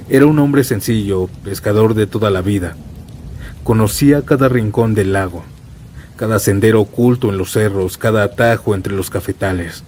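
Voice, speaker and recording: A man narrating scripted first-person horror stories in a solemn, dramatic way, as a storyteller. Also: on the low side. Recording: steady rain and thunder noise bed. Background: rainstorm with thunder.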